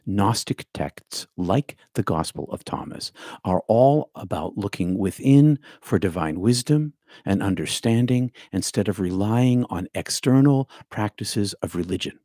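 A man's voice: lowish voice, animated, unhurried, mellow, no echo. An older man giving a scripted spiritual talk from a home study, reading from notes and dropping to a near whisper for emphasis, close on a studio mic. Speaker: spiritual teacher. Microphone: studio mic on stand with headphones.

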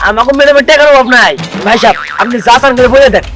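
A man's voice: very high-pitched, very fast, fairly bright tone. A man with a colloquial Bangladeshi accent playing an eager, fawning assistant in a web drama. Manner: obsequious, reassuring. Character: eager, fawning male assistant to a rich boss. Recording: music over the dialogue.

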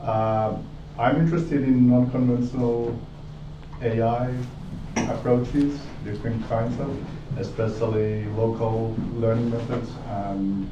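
Male, native Finnish speaker, mid pitch, meetup introduction, roomy sound. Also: steady tone, slow pace. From Finland. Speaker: meetup attendee. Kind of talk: informal self-introduction. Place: indoor meetup room. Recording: distant, muffled, echoey, noisy meeting room.

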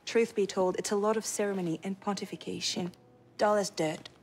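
An actress playing a teasing, high-spirited young noblewoman, brisk and playfully bossy, in a game cutscene. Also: steady tone, darkish tone, a little hiss or hum, lightly acted. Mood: wry. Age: young adult. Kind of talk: video game cutscene acting.

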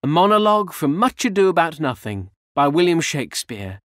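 A male voice actor giving a theatrical, clearly articulated reading of poetry and literature. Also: fairly high pitch, very animated, brisk, dry recording.

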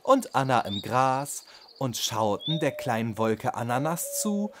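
A man narrating a children's bedtime audio story in a gentle storytelling manner. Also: mid-range voice, sing-song, a little slow, background noise.

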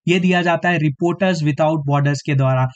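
Hoarse high-voiced male teacher. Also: steady tone, fast, muffled recording. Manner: repeats "theek hai" as a tag, addresses students directly. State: slightly hoarse, clearing throat. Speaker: exam coaching teacher. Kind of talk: live online quiz lesson.

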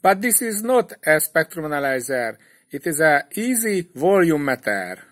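A man with a Hungarian accent, a non-native English speaker, narrating a hobby electronics kit tutorial in plain, slightly halting English. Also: on the high side, sing-song, a bit bright.

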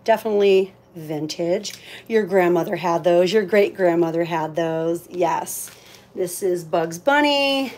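A woman's voice: fairly low pitch, unhurried, darkish tone. A woman hosting a live auction in casual, chatty talk, thinking aloud as she handles items. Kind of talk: casual livestream sales chat.

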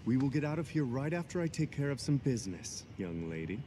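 A man performs a breezy young heroic adventurer from a video game. He is informal and expressive, with a mid-pitched, very animated voice over clear background noise. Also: fairly quick, natural voice. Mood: concerned, casual. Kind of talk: video game cutscene acting.

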